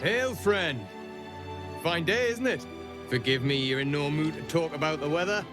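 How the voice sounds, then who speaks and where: A man's voice: a little high, lively intonation, normal speed, close to an everyday voice. An actor playing a folksy city guardsman with simmering resentment in a fantasy video game. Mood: hearty, chatty. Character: city guardsman, hearty surface, seething bloodthirsty resentment. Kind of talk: video game character acting. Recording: music behind the voice.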